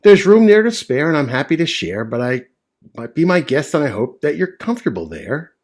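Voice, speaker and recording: A man doing a gentle, big-hearted moose. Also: fairly high pitch, big pitch swings, fairly quick, voice pushed up. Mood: kind. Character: kind, patient big male moose.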